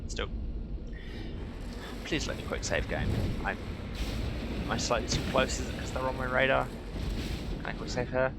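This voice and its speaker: A man's voice: normal speed, pauses often. A man giving casual, wry, self-deprecating commentary as he plays a video game. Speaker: let's player. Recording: clearly noisy, rumbling game vehicle sounds.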